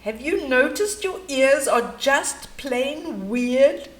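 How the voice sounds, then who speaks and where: A woman's voice: high-pitched, animated, slow. A woman voicing a big, rude, blustering male bully elephant in a children's storybook. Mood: rude, scornful. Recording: roomy echo, faint background noise.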